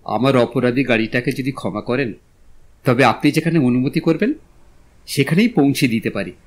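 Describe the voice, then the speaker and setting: Male actor as young gallant gentleman, audio drama, courteous, witty, poetic phrasing, medium pitch. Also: normal speed, lightly acted. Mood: gallant, pleading. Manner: polite, florid, literary phrasing. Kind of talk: Bengali audio drama acting.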